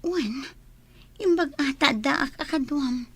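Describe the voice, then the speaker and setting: A woman's voice: high-pitched, slow, fairly bright tone, no room sound. A woman with an Ilocano Filipino accent playing an attentive wife in a radio drama. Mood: reassuring. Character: attentive woman posing as an amnesiac man's wife.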